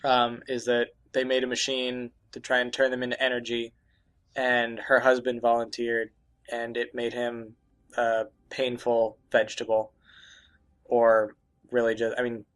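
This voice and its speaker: A man doing casual, halting, profane gaming commentary alongside a co-host, in a joking way. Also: medium pitch, monotone, fairly bright tone. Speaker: Let's Play commentator.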